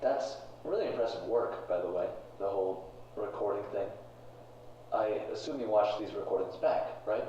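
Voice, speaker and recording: A man's voice: fairly low pitch, expressive, moderate pace, crowd noise, natural voice. Man playing a dry interviewer in an echoey room. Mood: dry, probing. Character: dry, skeptical male interviewer questioning a tech CEO. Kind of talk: found-footage horror film acting. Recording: echoey, distant, dull hissy 1980s tape.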